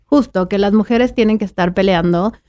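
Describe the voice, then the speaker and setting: A woman's voice: medium pitch, brisk, darkish tone. Woman hosting a chat. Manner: supportive, affirming interviewer. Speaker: podcast host. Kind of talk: conversational podcast interview.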